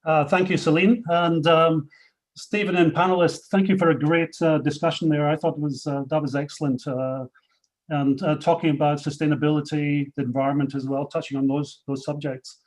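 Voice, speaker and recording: A man's voice: on the high side, little pitch movement, moderate pace, rough recording. A man from Scotland with a Scottish accent, a company chief presenting industry awards in a webinar, speaking unscripted with many fillers and restarts.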